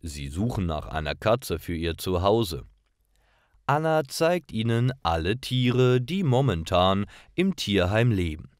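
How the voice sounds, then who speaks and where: A man with a fairly deep voice narrates simple stories for language learners. His delivery is very slow and deliberate, with lively rises and falls in pitch and carefully separated phrases that are easy to follow. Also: no echo, studio quality.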